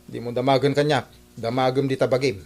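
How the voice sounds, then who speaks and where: A man's voice: mid-range voice, little pitch movement, quick pace, a little mellow, natural voice. Man acting a blunt, nagging Ilocano husband. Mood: exasperated. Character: blunt, nagging husband worried about money. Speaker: actor in a comedy radio drama. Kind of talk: Ilocano comedy radio drama acting.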